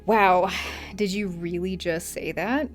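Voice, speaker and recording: A woman's voice: fairly low pitch, slow, faint music behind. A woman acting in an audio drama as a cocky, unbothered bounty hunter with a dry, teasing confidence. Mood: mocking, confident.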